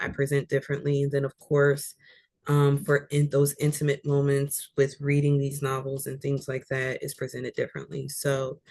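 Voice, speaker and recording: A woman with a deep voice, an author chatting as a guest about how she uses her voice, talking thoughtfully with frequent fillers. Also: darkish tone, no echo. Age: adult. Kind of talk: casual chat about voice and reading.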